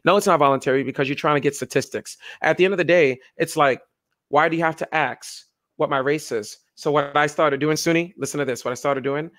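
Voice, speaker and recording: A young man co-hosting a casual political chat, talking in an animated way and acting out the people he quotes. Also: fairly quick. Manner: animated, acts out quoted voices with pitch jumps. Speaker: podcast co-host.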